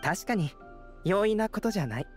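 An actor playing a calm young male alchemist who explains things in a gentle, measured way. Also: high-pitched, loud music behind the voice. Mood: analytical. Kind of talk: video game voice acting. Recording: game cutscene audio with soundtrack under it.

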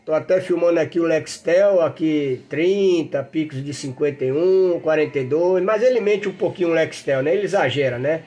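A man's voice: fairly high pitch, moderate pace, hardly any pauses, mellow. A Brazilian man chatting casually as an amateur radio operator, enthusiastic and folksy, full of slang and jargon. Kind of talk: casual radio contact chat with running commentary.

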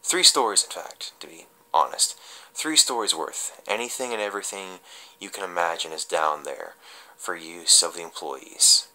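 A man giving an amateur dramatic reading of a horror story as a confessional, halting and stumbling, with drawn-out pauses. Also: deep voice, animated, bright, forceful tone, low-quality recording.

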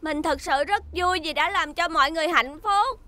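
A woman's voice: very high-pitched, normal speed, bright, forceful tone, no room sound. A woman voicing a cheerful, eager little rescue helicopter with a bubbly manner in a children's cartoon. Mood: proud. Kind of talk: dubbed children's cartoon acting.

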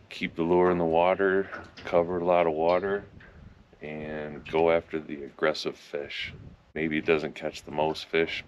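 A man in his fifties casually narrating his fishing trip from a small boat on a lake, relaxed and matter-of-fact. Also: sits low, lo-fi sound, a little hiss or hum. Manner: relaxed, matter-of-fact, upbeat when a fish bites. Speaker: fishing vlogger. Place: small aluminum boat on an open lake, outdoors. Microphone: action camera mounted in the boat.

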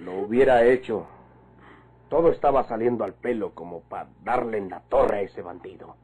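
A man's voice: fairly low pitch, moderate pace, a little mellow, slightly rough. An actor playing a bitter, boastful gunman villain with a Mexican accent in a vintage radio drama. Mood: bitter, frustrated.

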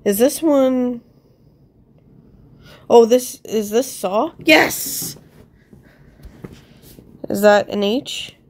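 A woman's voice: a little high, very slow, pauses often. A woman with a stuffed-up nose casually thinks aloud over a puzzle game, a little self-deprecating. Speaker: player commenting on own gameplay.

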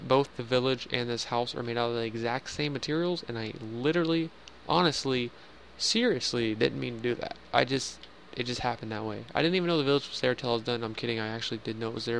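A man's voice: mid-range voice, gravelly, fairly bright tone, a little hiss or hum. A young man casually narrating a game house tour in voice-over, rambling with fillers and joking at himself. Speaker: Minecraft builder giving a house tour.

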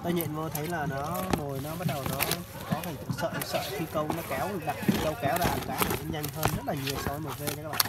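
Man, fishing vlogger, casual explaining, slightly high voice, outdoor pond, loud background noise. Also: steady tone, fast, hardly any pauses. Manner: explains bait mixing to viewers, chatty.

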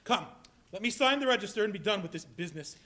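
An actor playing a bitter old miser in a live stage reading, speaking in a husky, clipped, scornful way in a large hall. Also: male voice, high voice, animated, quick pace, bright, forceful tone, exaggerated voice. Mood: cold.

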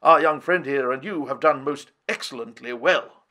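A man's voice: medium pitch, moderate pace, pushed and bright, lightly acted. A man voicing a pompous, self-important older professor who speaks in lofty, formal phrases. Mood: grandiose.

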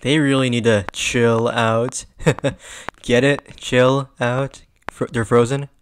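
A man's voice: medium pitch, level tone, slow pace, slight background noise, natural voice. Man as a jokey, laid-back anime sidekick. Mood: teasing. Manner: casual, jokey. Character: joking, teasing young male anime comic-relief sidekick.

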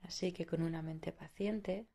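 Low-pitched Spanish woman guiding meditation, even-toned. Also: gravelly. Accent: Spain. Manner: soothing, unhurried, with long pauses. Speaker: meditation guide. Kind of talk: guided meditation.

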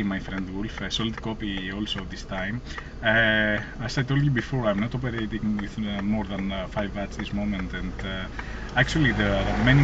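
A Greek-accented man with a lowish voice makes a ham radio contact in halting, measured phrases. He sounds distant from the microphone, in a muffled in-car recording. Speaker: amateur radio operator. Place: inside a parked car. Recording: dull distant in-car recording, vehicle noise.

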